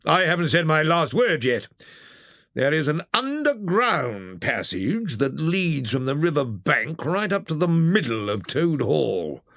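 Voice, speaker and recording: Storybook badger, played by a narrator: older male, steady, authoritative, measured, dry close recording. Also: medium pitch, dry recording, pitched above the performer's own voice. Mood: reassuring.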